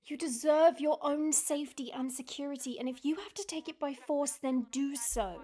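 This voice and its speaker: A woman's voice: high voice, moderate pace, dark tone, lightly acted. A woman playing a concerned, exasperated wife in an audio drama, worried and pleading with her husband.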